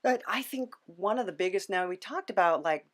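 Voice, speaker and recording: A podcast host in casual conversation, explaining things in a halting, thoughtful way. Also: medium pitch, expressive, a bit bright.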